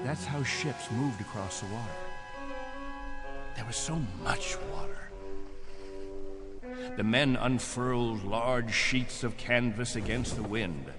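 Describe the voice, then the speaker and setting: An actor playing an aging, wistful spaceship captain, speaking deliberately in a dramatic radio-play style. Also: male voice, mid-range voice. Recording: long reverb, music underneath.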